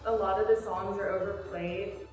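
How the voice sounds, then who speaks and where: A woman with a medium-pitched voice shares casual opinions, phrasing them softly. The space is very echoey and music plays clearly behind her. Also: steady tone, moderate pace.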